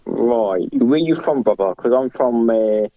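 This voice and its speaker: An Albanian-origin man joining an online voice chat, drawling and calling others brother. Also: unhurried. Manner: drawling, lively pitch, calls people brother. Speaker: chat participant. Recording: dull, distant voice chat audio.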